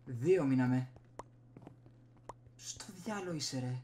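A man's voice: mid-range voice, noisy background. A young man from Greece giving casual gameplay commentary as a video host, muttering to himself as he plays. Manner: muttering to himself while playing. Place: small room at home. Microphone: desktop USB microphone.

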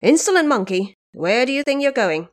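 A woman's voice: sits high, sing-song, normal speed. A woman voicing a fierce, indignant male warrior deity in a declamatory audio drama manner.

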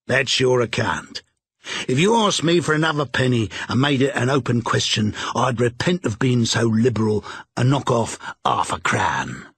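A man voicing a sly old pawnbroker with a low, drawling, wheedling manner. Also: lively intonation, moderate pace, fairly bright tone, slightly rough, no room sound.

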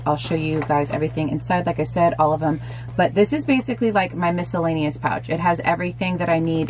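A woman in her thirties talks to her viewers in a bright, chatty way, her voice sitting a bit low and slightly hoarse. She is filmed in a small bedroom with a faint background hiss. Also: rough recording. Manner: chatty and upbeat, addresses viewers directly. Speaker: lifestyle vlogger. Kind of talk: casual product show-and-tell.

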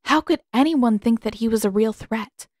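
A woman's voice: on the high side, normal speed, a little mellow, no echo. A woman reading scripted fiction as an audiobook narrator, close to the microphone.

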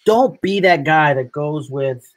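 A man from upstate New York hosting a casual live video chat with guests, talking in a chatty, animated way. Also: on the high side, a little slow. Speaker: host.

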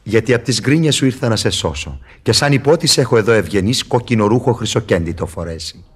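An actor playing a sly, mocking, falsely humble devil in radio theatre, with husky, ironic, wheedling verse delivery. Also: male voice, lowish voice, expressive. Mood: coaxing, gleeful.

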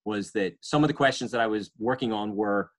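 A man in his forties, an environmental scientist, explaining his career to students over a video call and often pausing with uhs and restarts. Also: lowish voice. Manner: hesitant, many uhs and restarts. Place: home or office on video call, virtual background. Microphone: webcam or laptop mic on video call.

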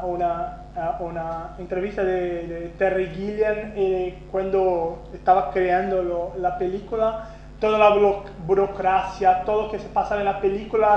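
A Brazilian man whose first language is Portuguese, giving a conference talk with a Brazilian accent, self-deprecating and halting, with many restarts. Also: high-pitched. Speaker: conference speaker. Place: conference hall. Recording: roomy, dull lo-fi sound with faint hum.

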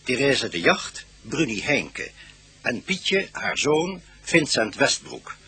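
A male announcer reads credits formally in a mid-pitched, very husky voice. He takes his time, and his intonation rises and falls clearly. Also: sing-song, slow, sharp tone. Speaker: radio announcer. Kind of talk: formal scripted credit reading.

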